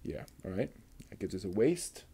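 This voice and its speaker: A man giving casual running commentary while he builds a model kit, talking slowly and methodically and half to himself. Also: on the low side, sing-song, warm tone, husky. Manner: muttering to himself, slow and methodical.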